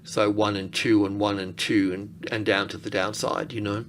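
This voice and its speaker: Low-voiced man over background noise. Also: level tone. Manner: halting, thinking aloud. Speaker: market analyst presenter. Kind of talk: informal technical chart commentary.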